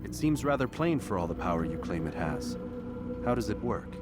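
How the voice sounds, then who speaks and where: A man's voice: low voice, very animated, normal speed, over loud music. An actor playing a stern, skeptical medieval assassin, formal and restrained in his questioning.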